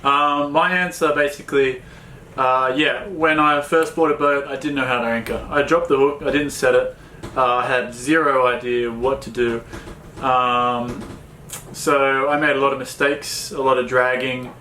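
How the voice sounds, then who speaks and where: An Australian man co-hosting a sailing chat, talking in a relaxed, plain way with many fillers. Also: mid-range voice, moderate pace, roomy sound, a little hiss or hum. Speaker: sailing vlog co-host. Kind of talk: casual Q&A chat.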